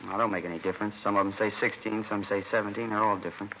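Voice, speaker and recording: An actor playing an evasive, streetwise seventeen-year-old American delinquent in slangy, grudging radio drama dialogue. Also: male voice, on the low side, fairly even intonation, very fast, lightly acted. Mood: sullen, evasive. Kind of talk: 1950s radio drama acting. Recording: dull, noisy vintage radio recording.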